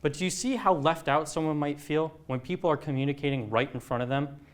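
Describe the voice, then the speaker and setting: A man narrating a student television news report in a clear, even delivery. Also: fairly high pitch, fairly quick.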